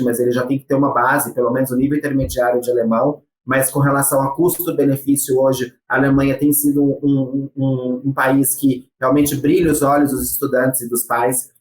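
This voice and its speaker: A Brazilian man speaks with a Brazilian Portuguese accent, answering interview questions about studying abroad in an unhurried, explanatory way. His voice sits at an ordinary mid pitch, and frequent hesitation fillers break up his sentences. The sound carries a noticeable room echo. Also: a little mellow. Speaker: guest from a study-abroad agency.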